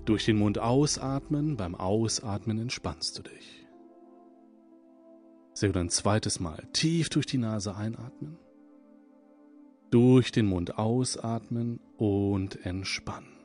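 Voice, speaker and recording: A man leading a guided hypnosis session, speaking in a calm, soothing, repetitive manner. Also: on the low side. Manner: slow, soothing, hypnotic, repeats phrases. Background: soft background music.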